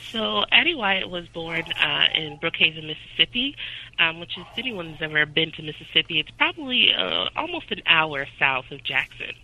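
A woman's voice: sits low, sing-song, normal speed, pushed and bright, low-quality recording. A woman from the Midwest of the United States, a historian being interviewed about her book, speaking thoughtfully with frequent ums and uhs. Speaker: author and historian guest. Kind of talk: interview answers.

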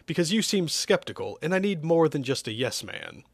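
A man voicing a cheerful, oblivious villain in a comic story, pitching evil inventions like a casual, eager salesman. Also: sing-song, normal speed, husky, no room sound, clean studio sound, full character voice. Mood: eager, boastful.

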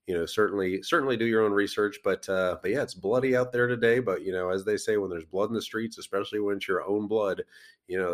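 A man hosting a crypto podcast, rambling casually with many fillers while sick and losing his voice. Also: low voice. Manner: rambling, lots of fillers and restarts.

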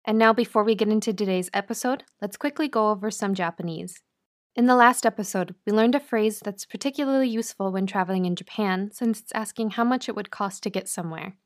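A woman hosting a podcast, talking clearly and breaking phrases down slowly like a teacher. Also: mid-range voice. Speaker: podcast host. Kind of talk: scripted podcast intro and interview.

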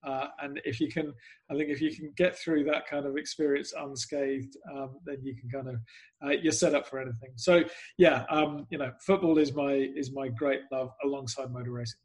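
A man's voice: medium pitch, fairly even intonation, normal speed, darkish tone, husky, poor audio. An English man from the UK, chatting casually as an interview guest, self-deprecating and full of "um"s. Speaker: guest, club PR executive.

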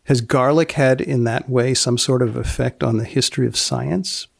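A man hosting a podcast, narrating and asking questions in a measured, deadpan way. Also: mid-range voice, creaky, dry recording, studio quality. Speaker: podcast host and magazine editor.

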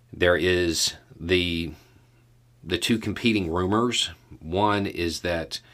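Deep-voiced, slow-talking man. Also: a bit bright. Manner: measured, halting delivery with deliberate pauses. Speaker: podcast host commentator. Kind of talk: casual solo news commentary.

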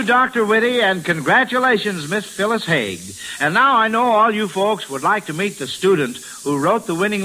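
A man's voice: high voice, bright, forceful tone, rough recording. An American man hosting a 1940s radio quiz show with folksy, schoolmasterly patter on a hissy old broadcast recording.

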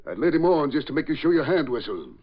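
An actor playing a shrewd, haughty double-dealing spy in a 1940s radio drama, theatrical and indignant, with a gravelly, husky voice. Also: male voice, a little high, big pitch swings, quick pace. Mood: scornful. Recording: dull old radio recording.

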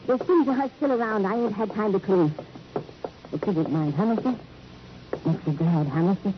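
A woman's voice: mid-range voice, normal speed, lightly acted. A woman playing a world-weary hotel keeper in an old radio crime drama, musing in a sardonic, insinuating way. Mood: needling, weary. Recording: muffled old radio recording, faint noise.

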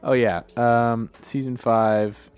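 A man's voice: mid-range voice, steady tone, slow pace, darkish tone, smooth, soft background music. A young man co-hosting a comedy podcast, trading crude jokes, laughing often and slipping into bits. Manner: joking, laughs often, does voices. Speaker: podcast co-host.

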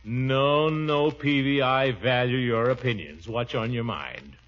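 An American actor playing a pompous, chuckling, good-natured middle-aged uncle in a 1940s style radio comedy. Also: male voice, mid-range voice, unhurried, fairly bright tone, slight background noise, lightly acted. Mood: proud, defensive. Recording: dull old radio recording.

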